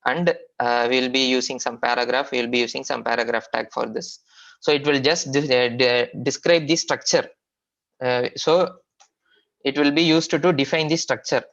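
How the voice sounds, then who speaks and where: A man with an Indian accent teaches a technical class in a medium-pitched voice. He explains informally, often hesitating and repeating himself as he goes. The audio is dull and low in fidelity, like an online training recording. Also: fairly even intonation, a bit bright. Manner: halting, repetitive explaining with frequent hesitations. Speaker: software trainer.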